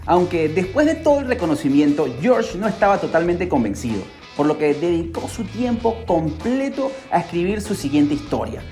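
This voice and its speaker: A podcast host telling a scripted story in a dramatic, excitable way, with rhetorical questions and exclamations. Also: mid-range voice, normal speed, few pauses, soft background music. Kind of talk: scripted storytelling narration for a pop culture podcast.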